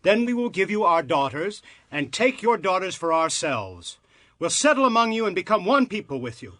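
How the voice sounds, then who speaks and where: Eager biblical clansman: high voice, very lively intonation, persuasive, dry sound. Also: moderate pace, dry recording. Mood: firm, bargaining. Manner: animated, persuasive. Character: eager bargaining biblical clansman addressing men. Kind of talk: dramatized audio Bible acting.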